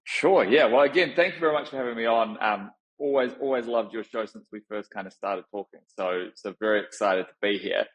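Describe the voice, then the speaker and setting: A man from New Zealand with a clear New Zealand accent speaks in a fairly low voice. He's a middle-aged founder answering podcast questions, unhurried and reflective, often circling back and restarting as he thinks aloud. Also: dull audio. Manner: thoughtful, many fillers and restarts. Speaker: founder and CEO, guest. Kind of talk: conversational interview.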